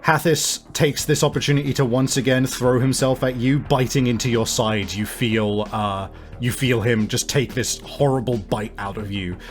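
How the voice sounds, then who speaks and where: A man's voice: medium pitch, steady tone, normal speed. A man running a tabletop game as game master, narrating in casual, profane language. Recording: music under the voice. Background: background music.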